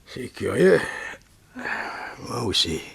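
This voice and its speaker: A kindly, jovial man in an old radio serial. His medium-pitched voice is gravelly and husky. He speaks in a familiar, solicitous way, peppered with exclamations. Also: big pitch swings, slow, a bit bright, full character voice. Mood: groggy. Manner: familiar, solicitous, full of interjections. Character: kind, jovial, protective gentleman helping a stranger. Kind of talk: 1960s French radio serial acting.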